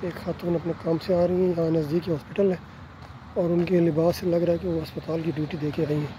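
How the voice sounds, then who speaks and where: A Pakistani man with an Urdu accent narrating a walking tour of a quiet residential street, politely pointing out houses as he films on his phone. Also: fairly high pitch, flat delivery, background noise. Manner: polite, unhurried tour-guide commentary with frequent "ji" and "theek hai na". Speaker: travel vlogger. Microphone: handheld phone camera while walking.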